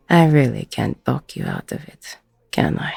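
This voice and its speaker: A woman playing a weary, gruff, middle-aged ex-con bartender, husky and breathy, blunt and self-deprecating, in an intimate audio roleplay. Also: extremely low pitch, a little mellow, no room sound, heavily acted. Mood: weary, resigned.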